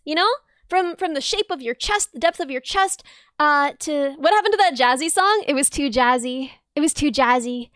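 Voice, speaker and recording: Young woman streamer: bright, high, slightly piercing voice, bubbly and gushing, dry close sound. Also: dry recording. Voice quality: high, dense, a little piercing. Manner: bubbly, gushes thanks with shrieks of excitement. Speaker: streamer and musician. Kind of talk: casual livestream chat with viewers.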